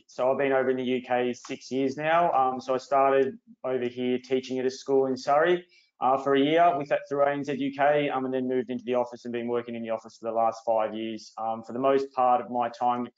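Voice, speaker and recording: Flat-voiced man on a video call. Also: mid-range voice, brisk, lo-fi sound. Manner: even, steady, with ums. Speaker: recruitment consultant. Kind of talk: casual self-introduction.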